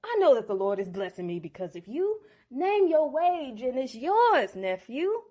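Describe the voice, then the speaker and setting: A woman's voice: high-pitched, sing-song, moderate pace, dark tone, voice pushed up. A woman voicing Laban, a scheming older uncle who fawns and pleads. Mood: fawning.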